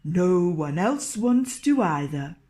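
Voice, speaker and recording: A woman voicing a frightened, gruff male innkeeper in a storybook, exclaiming emphatically. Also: fairly low pitch, lively intonation, takes it slowly, warm tone. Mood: fearful, curt.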